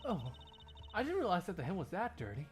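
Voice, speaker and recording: A woman's voice: lowish voice, huge pitch swings, brisk. A woman playing a cool, defiant agent with a dismissive, controlled manner in a game fandub. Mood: guarded. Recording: music behind the voice.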